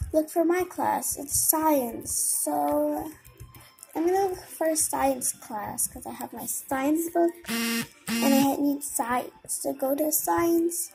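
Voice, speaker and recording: Little girl playing a game n talking to herself, really high voice, bit of fry, faint music behind. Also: dark tone, low-quality recording. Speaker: gamer. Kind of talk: casual gameplay commentary, thinking aloud. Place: indoors at a computer, screen filmed by camera.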